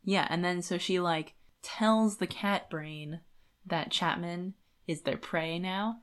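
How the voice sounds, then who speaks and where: Woman, low-pitched, podcast co-host; loose, informal book chat that rambles along. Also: slow, clear, resonant tone. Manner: informal, rambling, like-heavy phrasing. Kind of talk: casual book discussion chat.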